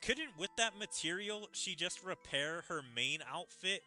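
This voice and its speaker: A man with a very bright, forceful voice gives casual game commentary. His pitch sits a little high and moves around in a lively way, with mallet-style game music playing behind him. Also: a little slow. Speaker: gaming video creator playing and reacting to a story event.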